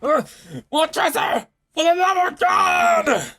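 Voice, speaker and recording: Man acting a higher-pitched, hesitant, anxious victim. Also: lively intonation, slow pace, sharp tone, no echo, shouting, studio quality, exaggerated voice. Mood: desperate. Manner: stammering, hesitant, broken phrases. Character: doomed, tipsy, trusting man, stammering and increasingly desperate. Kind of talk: dramatic story reading.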